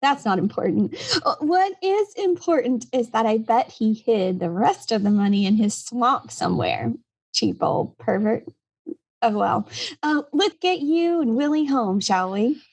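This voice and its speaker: A brisk, practical mother, acted by a woman in a radio play. Her voice is a little high and recorded very dry. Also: expressive, gravelly, a little mellow, dry recording, heavily acted, poor audio. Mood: matter-of-fact. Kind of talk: audio drama acting.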